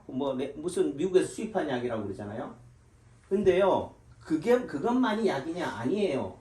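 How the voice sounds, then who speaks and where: A middle-aged man from the Gyeongsang region gives an informal health lecture, his voice sitting somewhat high for a man. He keeps asking rhetorical questions and answering them himself. The sound rings around an echoey room. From Gyeongsang region of Korea. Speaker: Korean medicine doctor.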